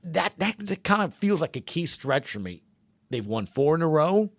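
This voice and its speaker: Higher-voiced man hosting sports talk, dry sound. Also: sing-song, moderate pace, no echo. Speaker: sports podcast host. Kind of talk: casual sports commentary.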